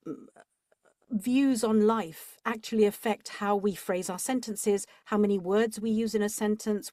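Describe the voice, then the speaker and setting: Curious, expressive woman in her fifties. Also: mid-range voice, no echo, studio quality. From England. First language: Spanish. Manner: thoughtful, curious, expressive storyteller. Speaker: guest, audiobook narrator. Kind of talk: reflective interview talk.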